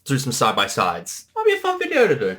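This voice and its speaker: A man's voice: mid-range voice, huge pitch swings, quick pace, fairly bright tone. A man giving casual creator commentary on his own series.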